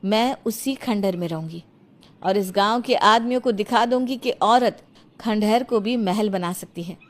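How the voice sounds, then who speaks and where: A woman's voice: medium pitch, moderate pace, close to an everyday voice. Defiant young daughter-in-law, voiced by a woman. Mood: resolute. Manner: firm, resolute, earnest.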